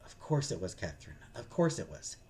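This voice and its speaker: A man voicing a gentle, devoted, protective male character from a visual novel, soft, coaxing and reassuring. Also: low-pitched, very animated, fairly quick, pitched below the performer's own voice.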